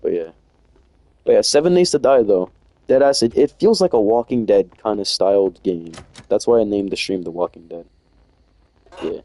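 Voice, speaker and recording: A man's voice: lowish voice, lively intonation, normal speed, warm tone, no echo. A young man chatting casually and rambling in slang while streaming a game from home. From United States. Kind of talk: casual chat while playing.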